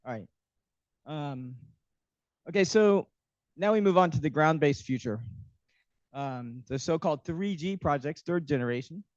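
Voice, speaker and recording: A man's voice: a little high, expressive, a little slow, pauses often, dry recording. A man giving a workshop lecture, hesitant with many ums and restarts, while losing his voice. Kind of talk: academic workshop talk. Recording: dull, muffled lecture audio.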